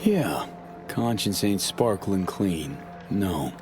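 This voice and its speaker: Game role: smooth undercover merc, low voice with very lively inflection. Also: male voice, slow, faint music behind. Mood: sly. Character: smooth, laid-back male mercenary working undercover. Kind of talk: video game cutscene acting.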